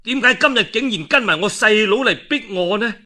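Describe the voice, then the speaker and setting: A man's voice: high voice, lively intonation, moderate pace, sharp tone, pitched above the performer's own voice, full character voice. A male storyteller voicing a proud warlord's son, an emphatic and reproachful commander.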